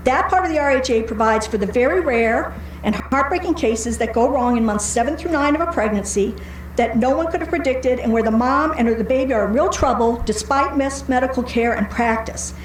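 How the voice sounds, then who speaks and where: An American woman with a high voice reads out a prepared public comment. Her delivery is argumentative and sardonic, pressing each point. The room around her is echoey, with faint noise behind the voice. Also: fairly quick. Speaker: public commenter at a county board meeting. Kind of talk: prepared speech read aloud at a public meeting. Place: indoor county board meeting room.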